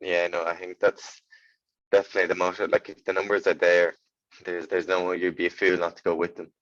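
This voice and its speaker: A man's voice: low-pitched, flat delivery, fairly quick, fairly bright tone, no room sound, muffled recording. A young man, asking wordy, informal questions as an interviewer.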